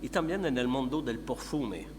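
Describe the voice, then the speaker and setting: A middle-aged man with a Quebec French accent addresses a conference in a second language, with an average-pitched voice. His native French shapes his halting delivery as he feels for words. The hall adds long reverb, and a faint hum sits behind him. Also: lively intonation. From Montreal, Canada. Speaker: conference speaker, company founder and researcher. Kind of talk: conference presentation.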